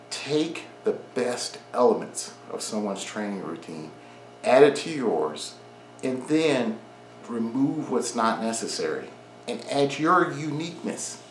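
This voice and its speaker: A man's voice: medium pitch, roomy sound, a little hiss or hum, poor audio. A man in his forties giving earnest training advice straight to the camera from his home garage gym, repeating his key points in an encouraging way. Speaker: fitness vlogger. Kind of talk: direct-to-camera advice talk.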